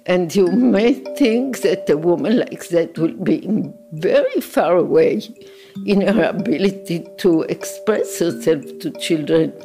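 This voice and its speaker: An older woman speaking slowly and reflectively in an interview. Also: lowish voice, animated, over music. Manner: slow, reflective.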